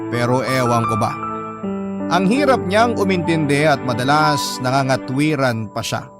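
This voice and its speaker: A Filipino man narrates a radio drama, reading out a scripted personal letter. His voice sits at a medium pitch. Loud music runs behind the whole narration. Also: expressive, moderate pace.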